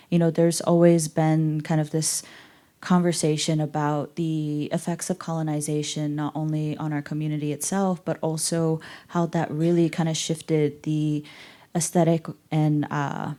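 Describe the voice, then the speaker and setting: A young adult woman artist talking in a measured, thoughtful way, with some fillers. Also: low-pitched, level tone, dark tone. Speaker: artist in conversation. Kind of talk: reflective conversational talk.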